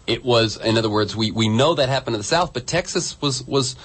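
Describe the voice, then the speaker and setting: A man's voice: mid-range voice, animated, brisk, a bit bright, slightly rough. A man hosting an interview, asking long, rambling questions with many fillers. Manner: chatty, searching for words, many fillers. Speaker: radio interview host.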